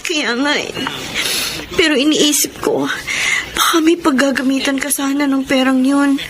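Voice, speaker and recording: An earnest young younger sister acted by a woman in a Filipino radio drama. Her voice is high and sincere, with faint noise behind it. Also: steady tone, moderate pace, natural voice. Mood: grateful.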